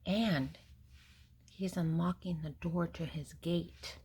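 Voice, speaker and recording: An older woman with a husky voice reading a picture book aloud to young children, explaining gently and simply with pauses. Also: on the low side, steady tone. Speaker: storybook reader and host.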